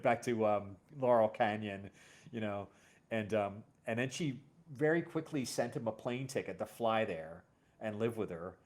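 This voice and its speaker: Low-pitched man chatting casually. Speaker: host. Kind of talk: casual conversation about music and musicians.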